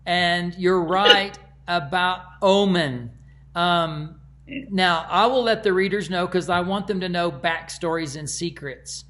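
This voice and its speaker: A man's voice: high voice, slow pace, pushed and bright. A man speaking as an author in casual, conversational commentary.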